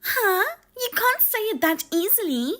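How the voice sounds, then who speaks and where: A woman voicing a sharp-tongued sister-in-law who talks down and needles, her voice pushed higher than her own. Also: very animated, a little slow, heavily acted. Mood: indignant. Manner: high-pitched, indignant and needling.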